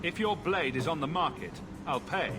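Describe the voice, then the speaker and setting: A man's voice: fairly high pitch, expressive, normal speed, lightly acted. Man playing a confident adventurer, echoey sound. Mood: confident, challenging. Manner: assured, challenging. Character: confident, blunt male adventurer hero. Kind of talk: fantasy video game acting. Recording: echoey, music behind.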